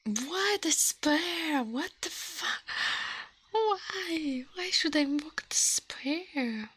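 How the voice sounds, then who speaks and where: A woman hosting an ASMR livestream, speaking mostly in a breathy whisper close to the microphone, with playful drawn-out delivery. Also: sits high, lively intonation, fairly bright tone, no echo. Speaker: livestream host.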